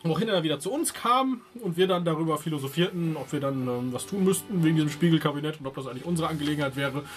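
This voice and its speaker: A man giving a halting, wordy, jocular recap of a role-playing session as one of the players. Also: fairly high pitch, expressive. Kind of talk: unscripted recap of a game session.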